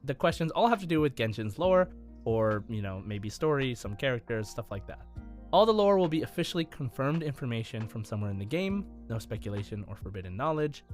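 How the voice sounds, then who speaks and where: A man hosting a gaming podcast in casual, enthusiastic talk, with halting starts and emphatic stresses. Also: mid-range voice, very animated, faint music behind. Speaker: podcast host and quizmaster.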